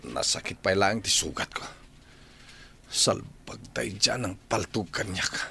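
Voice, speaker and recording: An actor playing a surly, resentful, injured older brother in a radio drama. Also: male voice, on the low side, a little slow, gravelly, pushed and bright, a little hiss or hum, heavily acted. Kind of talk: Ilocano radio drama acting.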